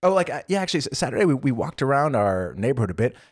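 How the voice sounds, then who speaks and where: A young man co-hosting a casual chat podcast, telling animated stories and acting out what others said. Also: medium pitch, very fast, no room sound, clean studio sound. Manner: animated storyteller, acts out quotes. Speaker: podcast co-host. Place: living in Germany.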